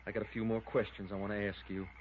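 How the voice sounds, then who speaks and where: A man's voice: fairly low pitch, flat delivery, quick pace, natural voice. An actor playing a folksy, level-headed small-town lawman and peacemaker in a 1950s radio drama, on an old radio recording. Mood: measured. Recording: dull, clearly noisy vintage radio recording.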